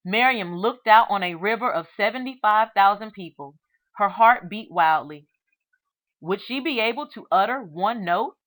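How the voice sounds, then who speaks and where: A woman narrates a children's book in a read-aloud style. Her voice sits at a middle pitch. She tells the story expressively, phrasing each part in a measured, deliberate way. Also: lively intonation, fairly bright tone, low-quality recording.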